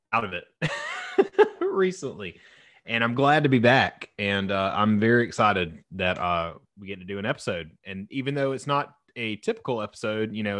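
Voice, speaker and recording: A man's voice: fairly low pitch, fairly bright tone, no room sound, clean studio sound. A man, co-hosting a casual podcast in a jokey, rambling way. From Southern United States. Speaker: podcast co-host, drummer.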